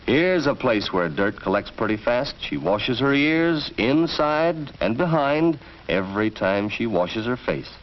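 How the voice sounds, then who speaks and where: A man's voice: mid-range voice, animated, unhurried, hardly any pauses. An American man narrating a 1940s educational film from a script, in an instructive, storytelling manner. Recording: dull vintage film soundtrack, faint noise.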